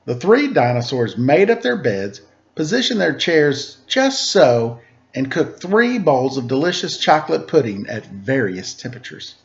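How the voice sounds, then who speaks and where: Animated man reading a picture book. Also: medium pitch, measured pace, lo-fi sound. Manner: playful, mock-innocent. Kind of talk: animated read-aloud with casual asides.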